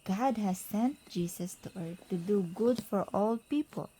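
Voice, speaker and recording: A woman reading a children's picture book aloud to a child, in simple, deliberate phrases with pauses. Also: mid-range voice, dark tone, a little hiss or hum.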